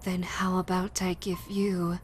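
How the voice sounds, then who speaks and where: A woman playing a female yokai ghost in an audio roleplay, theatrical and deliberate, menacing yet easily flustered. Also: lowish voice, little pitch movement, soft background music, natural voice. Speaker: voice actress. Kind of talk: audio roleplay, character speaking to listener.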